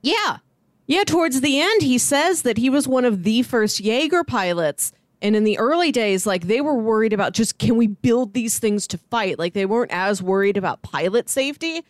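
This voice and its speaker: A woman's voice: on the high side, animated, normal speed, dry recording, studio quality. A woman co-hosting a podcast, talking casually about a movie. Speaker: podcast co-host.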